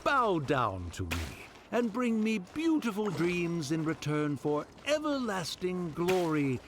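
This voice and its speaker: A man's voice: sits high, huge pitch swings, slow, noisy background, exaggerated voice. A man playing a solemn storyteller who recites a grand fantasy scripture in a video game. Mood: solemn, reverent. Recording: clear background music.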